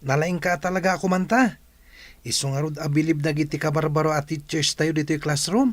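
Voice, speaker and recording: A man's voice: a little high, little pitch movement, fairly quick, no room sound, close to an everyday voice. A man playing a friendly, admiring young pupil in a radio drama, with a Filipino accent. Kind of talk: Ilocano radio drama acting.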